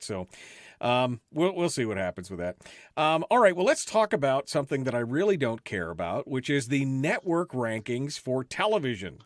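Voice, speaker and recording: A man's voice: mid-range voice, no echo, clean studio sound. A man hosting a radio show in casual talk-radio chat, with a folksy, blunt and opinionated way of talking.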